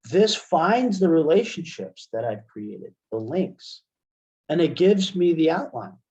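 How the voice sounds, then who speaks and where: Man, mid-pitched voice, slow pace, informal tutorial presenter, dull webinar audio. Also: warm tone. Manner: informal, step-by-step explaining. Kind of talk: software tutorial demonstration.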